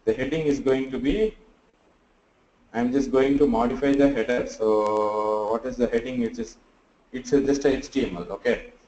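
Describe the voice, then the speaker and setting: A sleepy man teaches a live class over a video call, pausing often and thinking aloud as he works. His voice is mellow and dark, and the audio sounds dull and roomy, with a faint hiss underneath. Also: medium pitch, fairly even intonation. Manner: halting, thinks aloud with many fillers. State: sleepy and tired. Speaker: online class instructor. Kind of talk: live screen-share tutorial.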